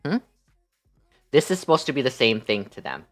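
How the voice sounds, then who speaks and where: Casting: woman streamer, very deep voice, animated slangy storyteller, faint music underneath. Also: moderate pace. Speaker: livestream host. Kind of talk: casual livestream storytelling.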